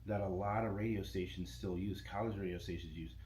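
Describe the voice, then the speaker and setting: Low-voiced man telling radio stories. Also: brisk. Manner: relaxed, rambling, restarts often. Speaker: podcast guest, radio station owner. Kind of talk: casual interview chat. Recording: echoey room with faint ambient noise.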